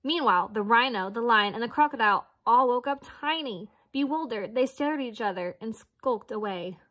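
A woman's voice: a little high, lively intonation, normal speed, muffled recording. A woman reading a children's picture book aloud as narrator. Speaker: storybook reader.

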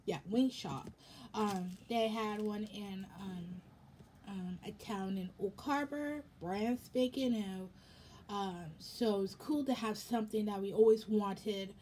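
A young American woman chatting casually for a haul vlog in a rambling, halting way, with a slightly raspy voice. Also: mid-range voice, mellow, a little hiss or hum. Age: young adult. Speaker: vlogger. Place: indoors in a home room.

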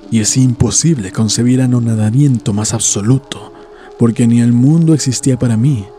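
A man reading a scripted horror story as an audiobook narrator, in a measured, solemn, literary manner. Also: fairly low pitch, dark tone. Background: background music.

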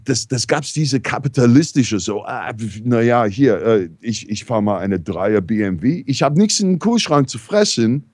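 American man telling lively, rambling anecdotes. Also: mid-range voice, moderate pace, hardly any pauses, dry recording, clean studio sound. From New York, USA. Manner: rambling, animated, many fillers and restarts. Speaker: talk show regular. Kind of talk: casual storytelling in a discussion.

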